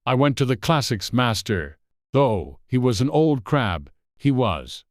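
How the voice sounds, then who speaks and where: A storybook narrator voicing a gruff, bossy male griffin who scolds bluntly and impatiently. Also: medium pitch, sing-song, measured pace, dry recording, clean studio sound. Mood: matter-of-fact.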